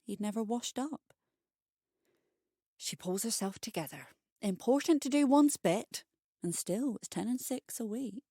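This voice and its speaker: A woman narrating a scripted bedtime short story for adults, expressive and wry. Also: on the high side, a little slow, husky, dry recording, studio quality.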